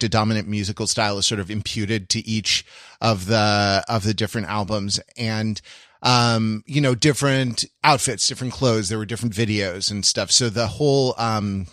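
A man's voice: fairly low pitch, a bit bright, no echo. Dry, close-sounding man chatting analytically. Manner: rambling, analytical explainer. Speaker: podcast co-host. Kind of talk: casual podcast chat.

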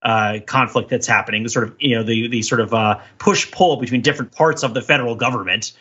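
A man with a husky voice hosting a podcast, casually analyzing things in a discursive way and often checking in with a "right?". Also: medium pitch, quick pace, pushed and bright. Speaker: podcast host. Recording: slightly dull, lo-fi sound.

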